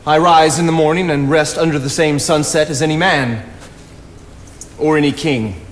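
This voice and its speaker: Male actor as solitary naturalist writer: slightly high voice, measured and lofty, stage drama in a reverberant, noisy theatre. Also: close to an everyday voice. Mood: reflective. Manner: measured, lofty, formal phrasing. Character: solitary, philosophical male naturalist writer leaving his woodland cabin. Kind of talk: stage play acting. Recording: reverberant theatre, clear background noise.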